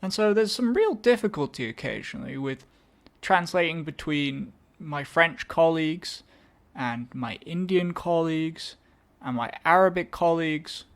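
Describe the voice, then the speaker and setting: A man's voice: on the high side, animated, unhurried, creaky. A young English man hosting a casual solo music show, rambling and correcting himself with wry asides. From England. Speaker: podcast host. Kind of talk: casual solo chat introducing songs.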